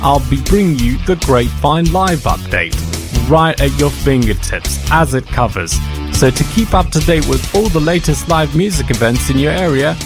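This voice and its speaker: A radio presenter, a man, reading a short promo for his show in a relaxed, friendly way. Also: a little high, normal speed. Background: guitar music.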